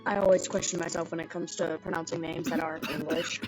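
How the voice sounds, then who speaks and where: A woman's voice: low voice, little pitch movement, quick pace, poor audio. A woman streaming a game, chatting casually with the game's music playing behind her. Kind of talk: casual live stream commentary. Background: game music and dialogue.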